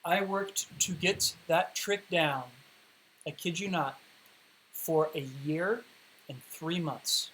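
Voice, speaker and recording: A man with a slightly high voice that is wearing thin and hoarse hosts a livestream Q&A, explaining poi tricks in a loose, chatty way with lots of "um"s and restarts. He sometimes drifts away from the mic as he demonstrates. A faint hiss sits under the home recording. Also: takes it slowly, sharp tone, low-quality recording. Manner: chatty, rambling explanations with many fillers and restarts. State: losing his voice. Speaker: poi spinning teacher hosting a livestream Q&A. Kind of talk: casual livestream Q&A and teaching.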